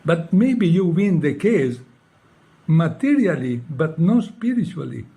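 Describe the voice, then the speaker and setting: A man's voice: fairly high pitch, sing-song, creaky, warm tone. Italian-accented man making a reproachful appeal. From Italy. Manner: reproachful, pleading, halting English with many rhetorical "no?" tags. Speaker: former devotee addressing a leader. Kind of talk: direct personal appeal to camera.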